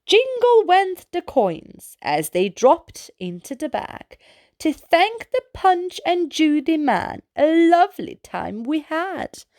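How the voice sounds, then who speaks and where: Slow, highly animated woman reading a picture book. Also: dry recording, clean studio sound. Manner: animated, with exclaimed sound-effect words; slow, deliberate delivery for young children. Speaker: children's storytime reader and host. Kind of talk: expressive read-aloud picture book narration.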